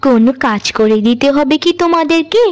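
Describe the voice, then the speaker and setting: A woman's voice: high voice, lively intonation, fairly quick, darkish tone. A woman voicing a trusting, timid youngest sister in a cartoon moral tale, earnest and pleading. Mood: trusting, eager.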